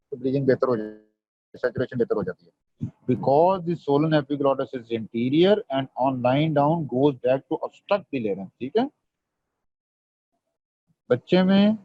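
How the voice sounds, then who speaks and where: A man's voice: a little high, dry recording. A man gives an online medical revision lecture, quizzing students and mixing Hindi with English terms. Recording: dull dry lo-fi audio.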